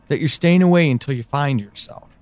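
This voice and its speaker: An actor playing an anguished, pleading, devoted man in an audio drama monologue, speaking haltingly and emotionally. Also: fairly high pitch, darkish tone, no echo, close to an everyday voice. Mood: pleading, frustrated. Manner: halting, hesitant, emotional, with sighs and pauses.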